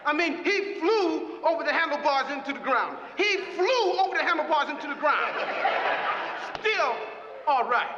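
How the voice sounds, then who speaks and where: An actor playing an emotional, rambling preacher who introduces his brother in a dramatised speech, halting and repeating himself with fervour. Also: extremely high pitch, lively intonation. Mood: excited storytelling. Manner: halting, repetitive, impassioned. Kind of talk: dramatised public speech from a pulpit. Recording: echoey noisy hall, dull sound, crowd.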